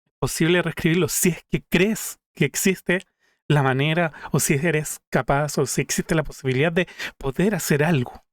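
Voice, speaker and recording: A man's voice: fairly high pitch, normal speed, dry recording. Thoughtful male streamer, dry close sound. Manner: thoughtful, musing aloud. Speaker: streamer reacting to a video. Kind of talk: casual reaction commentary.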